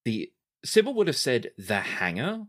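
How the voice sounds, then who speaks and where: A woman improvising narration as the game master of a tabletop game, descriptive and halting. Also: very deep voice, sing-song, fairly bright tone.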